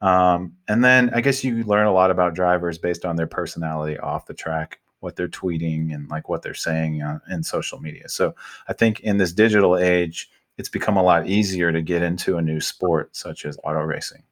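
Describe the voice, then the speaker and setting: A man hosting a podcast, talking in a relaxed, conversational way and steering the topics. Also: low voice, normal speed. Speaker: podcast host. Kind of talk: casual chat.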